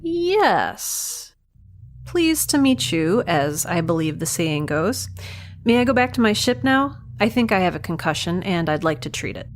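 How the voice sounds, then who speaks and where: A woman's voice: on the low side, big pitch swings, slight background noise. A woman playing a sardonic, defiant researcher. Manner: sardonic, quick-witted. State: nauseated, concussed. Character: defiant young woman researcher, sharp-witted. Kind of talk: audio drama acting.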